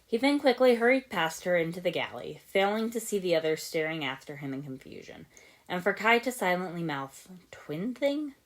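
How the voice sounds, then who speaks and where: A woman's voice: lowish voice, animated, brisk. A woman reading fan fiction aloud as a scripted audiobook narration. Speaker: podfic narrator.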